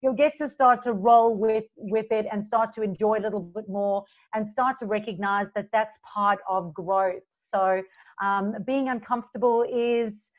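A bubbly woman from South Africa, her accent blended with Australian, chats as an interview guest and laughs between phrases. Her voice sits at a middle pitch. The recording is a little dull and lo-fi. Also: fairly even intonation, measured pace. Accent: South African, mixed with Australian. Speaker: guest, business coach. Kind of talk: casual interview chat. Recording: slightly dull lo-fi sound.

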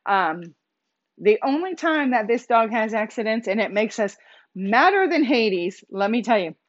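A woman hosting her own podcast, talking casually in a loud, emphatic voice with many restarts. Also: mid-range voice, dull audio. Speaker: podcast host. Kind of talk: casual solo podcast monologue.

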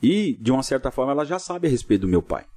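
Fast-talking middle-aged Brazilian man, mellow voice. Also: mid-range voice, sing-song. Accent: Brazilian, São Paulo state. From Cubatão, São Paulo coast, Brazil. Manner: peppers talk with tag questions. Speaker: pastor, podcast guest. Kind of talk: informal interview storytelling.

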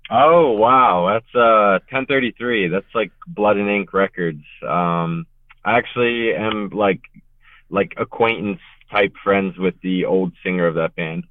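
A young man from Richmond, Virginia, chats loosely and rambles, piling on filler words. His low voice comes through a tinny, phone-like remote line. The sound is dry and close. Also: a little slow, no room sound. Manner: laid-back, rambling, heavy on filler words. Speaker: guest musician. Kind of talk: casual podcast interview.

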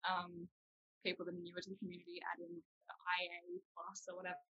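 A woman's voice: fairly low pitch, completely flat delivery, quick pace, sharp tone, husky, highs cut off. A young adult woman, explaining things informally and reassuringly as a guest in a casual chat. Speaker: guest, university student.

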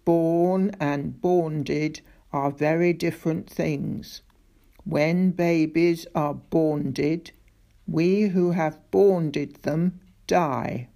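Woman putting on a slow deep monster. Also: steady tone, creaky, warm tone, full character voice. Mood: solemn. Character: huge gentle old monster, slow and solemn.

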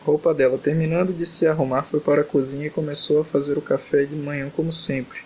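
A man's voice: a little high, level tone, quick pace, a little hiss or hum. Tired, exasperated young man podcasting. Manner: exasperated, joking, complaining. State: tired late at night. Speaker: podcast host. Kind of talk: casual podcast chat, reading a fanfic aloud.

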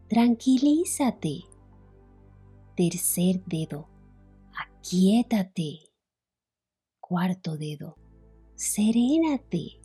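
Slow, mellow woman guiding relaxation. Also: lowish voice, breathy edge. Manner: soothing, unhurried, with long pauses. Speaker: guided meditation instructor. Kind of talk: guided relaxation, yoga nidra narration.

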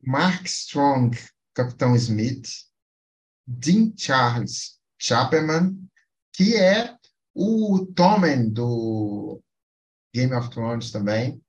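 A man hosting a quiz on a casual podcast, playful and teasing, reading out film credits in halting bits. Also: medium pitch, big pitch swings.

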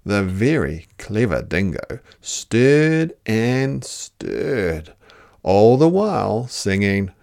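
A children's storyteller reading a picture book aloud at an unhurried pace. The delivery is highly expressive, with some creak in a mid-range voice.

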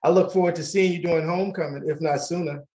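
A middle-aged man hosting a casual talk radio show, warm and laughing easily, often repeating his words. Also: a little high, steady tone, fast talker.

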